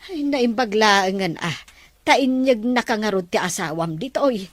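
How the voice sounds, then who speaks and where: A woman playing a concerned older mother who gently admonishes her grown son in a radio drama. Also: mid-range voice, animated, a little slow, no echo. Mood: concerned admonishing.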